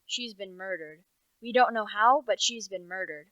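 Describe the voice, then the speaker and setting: A woman's voice: mid-range voice, normal speed, a bit bright, dry recording, lightly acted. A young woman acting an earnest investigator in a radio play, speaking in an emphatic, declarative way. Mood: urgent, grim. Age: young adult. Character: earnest investigator puzzling over a murder. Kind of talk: dramatic radio play dialogue.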